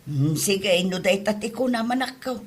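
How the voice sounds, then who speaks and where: A woman playing a supportive, caring mother in an Ilocano comedy drama, with a husky voice. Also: mid-range voice, animated, moderate pace. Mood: tender.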